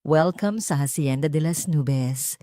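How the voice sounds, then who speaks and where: Older tycoon patriarch, read by a woman, calm and cutting, weighty deliberate lines, audio storybook, dry sound. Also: low-pitched, no echo. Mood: commanding. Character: authoritative older male billionaire, calm, cutting, commanding.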